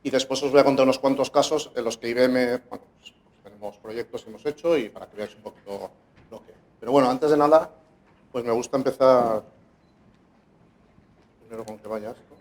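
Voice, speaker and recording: Middle-aged Spanish man presenting at a conference, relaxed and hesitant. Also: mid-range voice, brisk, pauses often. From Valencia, Spain. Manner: casual, hesitant with many fillers. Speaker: conference speaker. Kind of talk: conference talk.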